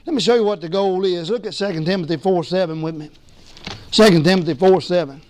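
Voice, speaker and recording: A man preaching a sermon as pastor, hoarse from losing his voice, emphatic and calling for responses. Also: high-pitched, quick pace, darkish tone. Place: church sanctuary.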